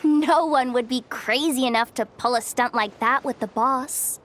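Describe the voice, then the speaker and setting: A woman's voice: high voice, unhurried, fairly bright tone, a little hiss or hum. An actress playing a loyal, kind-hearted, streetwise young woman in a video game, speaking in an earnest, plucky, slightly guarded way. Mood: defiant, guarded. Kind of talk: dramatic video game voice acting.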